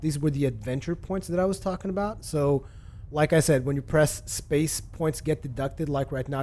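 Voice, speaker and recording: A man casually reviewing a video game for his channel, relaxed and rambling, with lots of fillers and restarts. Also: mid-range voice, slight background noise. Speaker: gaming video host.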